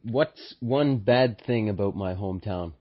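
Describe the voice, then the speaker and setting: A very low-pitched man answers casually, pausing often with ums as he thinks aloud. The recording is slightly muffled. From Canada. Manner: hesitant, many ums, reflective.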